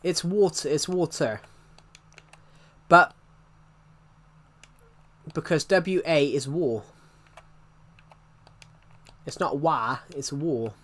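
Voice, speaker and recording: A man's voice: a little high, drawn-out words, long pauses, fairly bright tone. A young man from the United Kingdom with a thick regional British accent, chatting casually on a live stream, rambling and restarting his sentences. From United Kingdom, outside London. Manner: rambling, repeats himself, many restarts. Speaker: game streamer.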